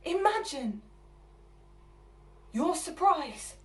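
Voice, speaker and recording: An actress plays a flustered, exasperated woman in a stage monologue. Her voice is high. She stammers, repeats herself and breaks from halting phrases into agitated bursts as she argues with her partner. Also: sing-song, a little hiss or hum, full character voice. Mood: indignant, sarcastic. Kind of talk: dramatic theatre monologue.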